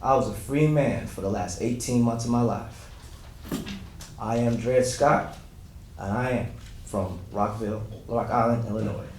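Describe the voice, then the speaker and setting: A man playing a dignified, wronged, formerly enslaved man, reciting a historical monologue in a deliberate way. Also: mid-range voice, a little mellow, a little hiss or hum, close to an everyday voice. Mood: solemn, firm. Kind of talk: first-person historical monologue, staged dramatic reading. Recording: echoey room, distant from mic.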